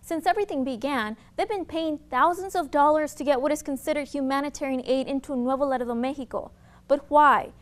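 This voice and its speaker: A woman's voice: high-pitched, a little fast. A woman television reporter reading scripted news narration.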